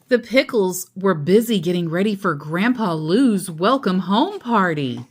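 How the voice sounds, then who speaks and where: A woman reading a children's picture book aloud in an expressive, deliberate storyteller's manner. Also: mid-range voice, husky.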